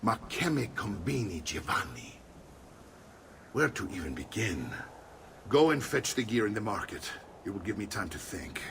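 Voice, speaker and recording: An actor playing a jovial, blustering middle-aged Italian uncle in a video game, with an Italian accent and a hearty, theatrical manner. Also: male voice, lowish voice, sing-song, moderate pace, creaky, fairly bright tone, slight background noise, exaggerated voice. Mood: earnest. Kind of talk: video game cutscene acting.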